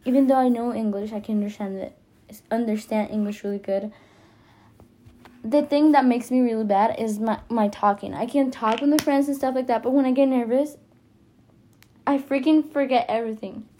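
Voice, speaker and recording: Teenage girl with a Spanish accent, higher voice. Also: moderate pace, warm tone. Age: teenager, high school freshman. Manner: informal, hesitant, self-conscious rambling. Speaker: podcast host. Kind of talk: casual personal podcast monologue.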